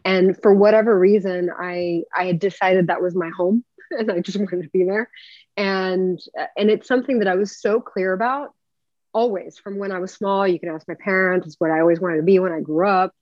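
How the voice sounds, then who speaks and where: A woman's voice: medium pitch, steady tone, moderate pace, darkish tone, dry recording. Woman, from Puerto Rico, chatty rambling guest, dull dry call audio. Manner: talkative, rambling storyteller. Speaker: actress guest. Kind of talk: casual interview conversation. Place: home room. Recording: dry, slightly dull lo-fi sound.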